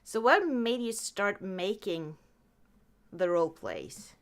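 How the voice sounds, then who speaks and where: A woman's voice: mid-range voice, huge pitch swings, slow pace. A woman hosting a podcast in a casual live chat, nervous and giggly. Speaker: podcast host.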